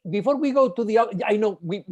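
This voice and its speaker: A man's voice: sits high, lively intonation, quick pace. A man hosting an interview, effusive and rambling, with many restarts. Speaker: ensemble director and host. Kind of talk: enthusiastic interview chat.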